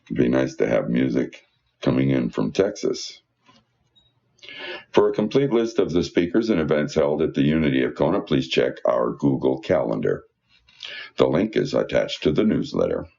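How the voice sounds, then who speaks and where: A man's voice: a bass voice, big pitch swings, moderate pace, vocal fry, warm tone, muffled recording. A man reading church newsletter announcements aloud in a folksy, conversational way with informal asides.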